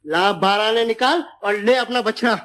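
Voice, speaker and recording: A very high-pitched, excitable comic coward. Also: male voice, normal speed. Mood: boastful, calling out. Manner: wheedling, chattering, theatrical pleading. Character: excitable, cowardly village busybody, comic sidekick. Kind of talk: 1950s Hindi film comedy acting. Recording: noisy old film soundtrack.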